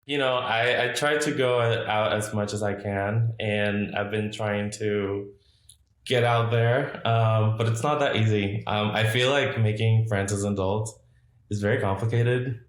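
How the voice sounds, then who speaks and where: Low-voiced man in an echoey room. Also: fairly even intonation, a little slow. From Puerto Rico. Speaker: podcast guest, designer. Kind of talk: casual interview chat.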